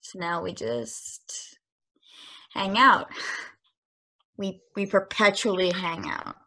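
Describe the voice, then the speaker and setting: Uneasy young woman, read by an actress, husky, halting, video call. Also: on the low side, very animated, sharp tone, full character voice. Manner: hesitant, full of fillers.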